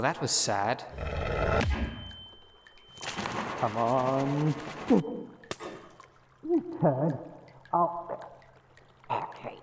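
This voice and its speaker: A man's voice: fairly high pitch, full character voice. A man acting as a wry, world-weary city witch in an ASMR audio roleplay, talking in a conversational, sardonic way. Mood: alarmed, urgent. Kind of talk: ASMR audio roleplay, one-sided dialogue. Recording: long reverb, noisy background.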